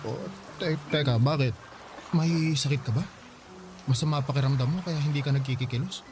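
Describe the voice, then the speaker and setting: A man's voice: a little high, fairly quick, music in the background, lightly acted. A man playing a caring, protective young husband who frets over his wife in a radio drama. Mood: worried, urgent.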